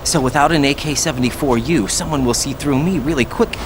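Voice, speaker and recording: A man's voice: medium pitch, brisk, natural voice. An actor playing a young male special forces operative in a video game cutscene, wary and always questioning. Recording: game mix with clear background noise.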